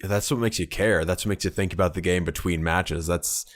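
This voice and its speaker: Low-voiced wry man in casual banter. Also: steady tone, brisk, no echo, studio quality. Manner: wry, profane. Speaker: podcast co-host. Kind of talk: casual hobby chat about tabletop wargaming.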